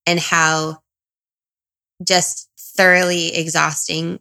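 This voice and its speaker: A woman's voice: on the low side, level tone, slow, gravelly, sharp tone. A woman in her thirties talking candidly about herself as an interview guest, in a reflective, confiding, self-questioning way. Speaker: interview guest, single parent. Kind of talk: candid personal conversation.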